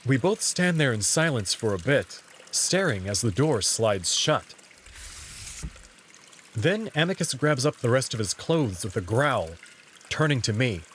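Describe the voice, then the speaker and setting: A man's voice: medium pitch, sing-song, a little slow, fairly bright tone, a little hiss or hum. A man reading first-person story narration in the role of narrator.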